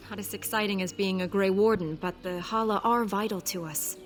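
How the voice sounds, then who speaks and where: An actress playing a gentle, devoted elven herder in a fantasy game, speaking in a measured, earnest, formal way. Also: female voice, medium pitch, a little hiss or hum, close to an everyday voice. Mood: proud, gentle. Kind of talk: fantasy game dialogue acting.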